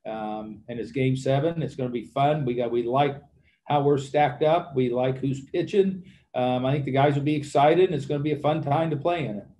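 A man in his sixties, a baseball manager answering questions at a press conference, halting and repeating words, speaking into a desk microphone. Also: mid-range voice, mellow, a little hiss or hum. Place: press conference room with backdrop.

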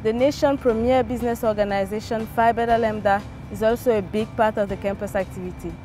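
A young woman from Ivory Coast reading a campus report as a student reporter, bright and upbeat. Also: on the high side, fairly even intonation, fast talker, faint music behind. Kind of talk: scripted narration.

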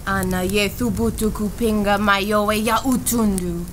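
An actress playing a threatening priestess in a radio play. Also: female voice, medium pitch, steady tone, a little slow, lightly acted. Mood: angry. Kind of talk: radio drama acting. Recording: radio play with clear background noise.

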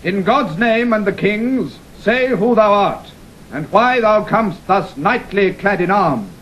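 High-voiced medieval herald-marshal. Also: male voice, animated, unhurried, a bit bright. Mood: ceremonial. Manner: ceremonial, proclaiming. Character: formal medieval Lord Marshal proclaiming at lists. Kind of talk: classical Shakespearean audio drama acting. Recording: noisy 1960 audio drama recording.

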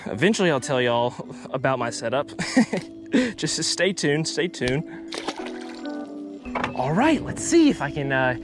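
A young man chatting to viewers while fishing from a small boat on a pond, excitable and laughing often. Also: fairly high pitch, slow pace, long pauses, over music. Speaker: fishing vlogger. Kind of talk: casual running commentary while fishing. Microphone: head-mounted action camera.

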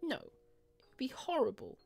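A woman puts on a young boy for an audio drama. He is spoiled and coldly defiant, high in pitch with very lively intonation, over clear background music. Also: measured pace, full character voice. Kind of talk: dramatic audio short story reading.

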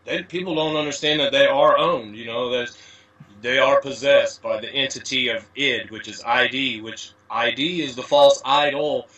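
American guy rambling with loads of you knows, bright tone, sounds kinda far off the mic. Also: mid-range voice, moderate pace, dull audio. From USA. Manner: rambling, many "you know" fillers, wordplay explanations. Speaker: guest. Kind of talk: casual conversational interview. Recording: distant from mic.

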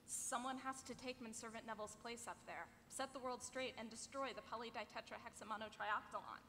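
A woman's voice: a little high, fairly even intonation, brisk, bright, forceful tone. A woman playing a wise, wry mentor who speaks in grave declarations at a live table read. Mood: solemn. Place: large indoor convention panel room. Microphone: table microphone. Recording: noisy echoey convention hall panel.

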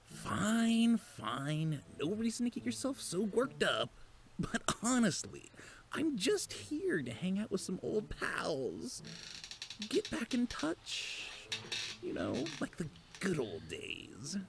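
A smug, mischievous male chaos creature from a cartoon, acted by a woman. The voice swoops wildly and drawls slowly, teasing and exaggerating every phrase. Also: mid-range voice, huge pitch swings, background noise, exaggerated voice. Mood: feigned innocence. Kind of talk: animated fan cartoon acting.

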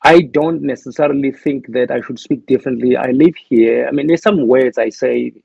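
A man's voice: mid-range voice, normal speed, vocal fry, a little mellow, slightly rough, no echo, lo-fi sound. A man with a South African accent, speaking as an interview guest in a thoughtful, hesitant way with many restarts. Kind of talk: reflective interview talk.

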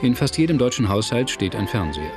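A man narrating a scripted documentary in a measured, factual manner. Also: medium pitch, very animated, music in the background. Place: studio voice-over, music behind.

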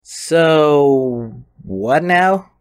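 A woman's voice: sits low, lively intonation, extremely slow, exaggerated voice. A woman voicing a bossy, exasperated young shrine maiden with a sarcastic, scolding manner in a parody cartoon. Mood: dry, sarcastic. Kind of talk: animated parody cartoon acting.

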